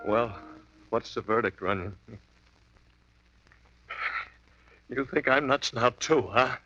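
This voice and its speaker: A man's voice: mid-range voice, moderate pace, over music, lightly acted. An actor playing a distraught, insistent man in an alcoholic ward in a 1950s radio drama. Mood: desperate. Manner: desperate, insistent. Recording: dull lo-fi 1950s radio broadcast.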